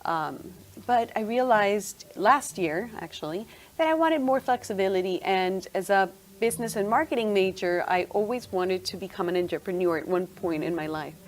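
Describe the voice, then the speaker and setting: A woman's voice: mid-range voice, moderate pace. A middle-aged woman from Venezuela, answering interview questions in a chatty way with frequent fillers and restarts. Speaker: guest, senior move manager.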